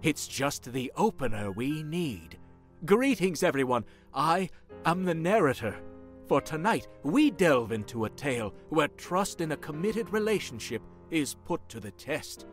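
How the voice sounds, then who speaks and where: A man's voice: on the high side, very animated. Man acting a theatrical horror narrator over music. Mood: sinister welcome. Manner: theatrical, ominous, deliberate phrasing. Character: theatrical, spooky horror-tale narrator and host. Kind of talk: scripted horror radio-play narration.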